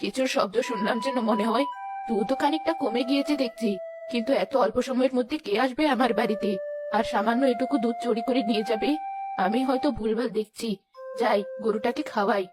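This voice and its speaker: Cartoon role: a woman playing old village woman, anxious, fairly high, brisk, loud music underneath. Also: close to an everyday voice. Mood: puzzled. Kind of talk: Bengali cartoon voice acting.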